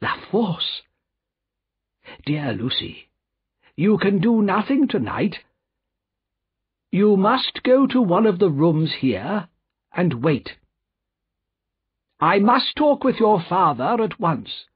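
Guy doing a fretful urgent banker gentleman for a storybook, pitched way up, kinda muffled audio. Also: very animated, slow, long pauses, slightly rough, heavily acted. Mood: anxious. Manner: agitated, pleading.

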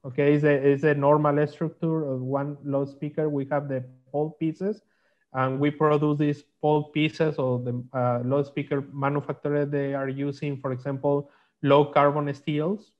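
Man, halting phrasing, technical explainer, muffled online-call sound. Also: fairly high pitch, flat delivery. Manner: halting, non-native English phrasing. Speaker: guest, magnetics consultant. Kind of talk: technical slide presentation. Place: remote video call. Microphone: video call microphone.

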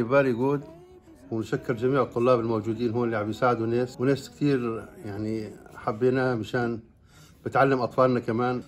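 An older man from Syria with a Syrian Arabic accent, a native Arabic speaker giving a short testimonial, halting and simple when he uses English. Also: mid-range voice. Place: plain indoor room.

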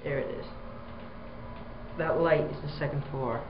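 A man's voice: on the high side, steady tone, measured pace. A man filming an elevator ride, giving dry, exasperated running commentary into a handheld camera inside a small elevator car. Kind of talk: casual handheld narration. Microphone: handheld camera mic. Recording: dull lo-fi camera audio, faint hum.

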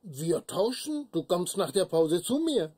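A man's voice: sits high, big pitch swings, a little slow, mellow, breathy edge, exaggerated voice. An actor in a German sitcom dub playing a friendly, earnest, naively affectionate young man.

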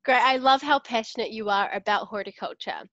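A woman's voice: on the high side, fairly quick, a bit bright, no room sound. A young woman interviewing for a university, asking polished, upbeat questions over a video call. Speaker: interviewer from student liaison. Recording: dull lo-fi call audio.

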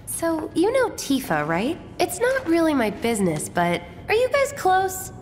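An actress playing a young resistance fighter in a video game, breezy and teasing. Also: female voice, very high voice, wildly swooping pitch, a little slow, slight background noise, exaggerated voice. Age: young adult. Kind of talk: video game cutscene acting. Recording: game music behind the voice.

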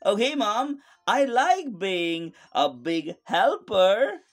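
A woman's voice: mid-range voice, sing-song, slow pace, pitched above the performer's own voice. A woman voicing an eager, curious little boy tiger cub in a storybook, wide-eyed and exclaiming. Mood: excited, curious.

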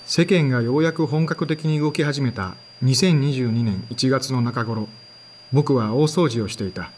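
A man's voice: mid-range voice, normal speed, a little mellow, slight background noise, lightly acted. An actor in his thirties playing a tired, sighing man who narrates his own story in an audio drama. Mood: weary. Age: 30s. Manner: sighing, tired, self-deprecating. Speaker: narrator and lead character.

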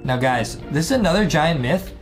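A man in his twenties hosting a gaming video, giving excited, playful commentary with casual banter and repeated catchphrases. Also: fairly high pitch, normal speed. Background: background music.

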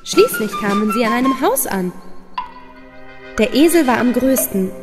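A woman's voice: sits high, huge pitch swings, moderate pace. A woman narrating a scripted fairy tale for children as the storyteller. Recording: long reverb under prominent music.

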